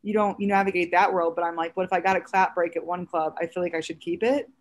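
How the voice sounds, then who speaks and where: Comedian guest, woman about thirty, raspy and a touch low, loose animated chat. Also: fast. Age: around 30. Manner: chatty, animated storytelling with many fillers. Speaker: stand-up comedian, podcast guest. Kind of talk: casual podcast chat.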